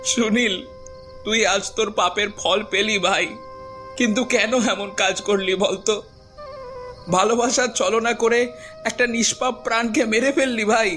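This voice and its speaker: A man's voice: sits high, steady tone, a little slow, sharp tone, soft background music. A man playing a grieving, reproachful brother in a horror cartoon, speaking with emotional, pleading reproach.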